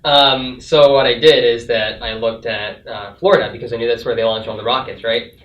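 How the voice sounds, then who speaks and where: A young man, a student, talking in a relaxed, wry way with many fillers. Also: mid-range voice, brisk. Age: young adult. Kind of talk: casual panel chat. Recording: echoey, distant, dull-sounding.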